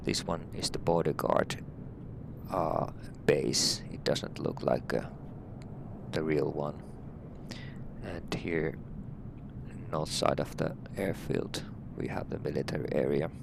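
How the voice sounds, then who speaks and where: A man's voice: extremely low pitch, fairly even intonation, slow, creaky, background noise. A middle-aged man from Finland with a Finnish accent, a helicopter pilot talking through a flight sim session with many fillers. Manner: hesitant, many fillers, plain and friendly. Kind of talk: casual flight-sim walkthrough.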